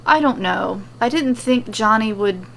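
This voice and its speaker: A woman plays a grave fantasy warrior, a man of calm resolve. The voice is measured and serious, with a creaky edge and some noise behind it. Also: fairly high pitch, exaggerated voice, poor audio. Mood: quiet, guarded.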